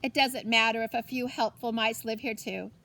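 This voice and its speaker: A woman's voice: fairly high pitch, fairly even intonation, moderate pace, gravelly, sharp tone. A woman voicing a cheerful, forgetful Mexican grandmother in a children's storybook, exclaiming and musing aloud with Spanish phrases mixed in. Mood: wondering, contented. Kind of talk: children's storybook character reading.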